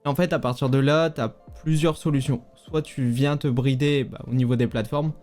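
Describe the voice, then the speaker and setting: A man who works as a native mobile app developer, talking casually as a guest in an interview, relaxed and informal with frequent hesitations. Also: mid-range voice, fairly quick, soft background music. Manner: relaxed, informal, many fillers.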